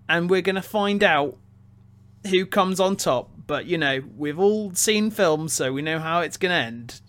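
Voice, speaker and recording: A man hosting a casual film review podcast, speaking haltingly and often repeating words as he starts a sentence. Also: high voice, fairly bright tone. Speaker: podcast host.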